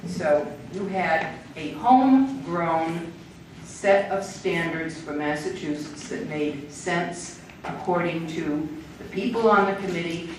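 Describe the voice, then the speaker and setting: Older woman lecturing in an echoey hall. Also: low voice. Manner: measured, explanatory, with small laughs. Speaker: invited speaker on education standards. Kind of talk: public lecture. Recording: echoey hall, distant mic, faint noise.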